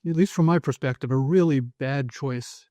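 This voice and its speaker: A man speaking as a guest lawyer in an interview, giving measured, careful answers with occasional hesitation. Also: mid-range voice, mellow, no echo, clean studio sound. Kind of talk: conversational interview.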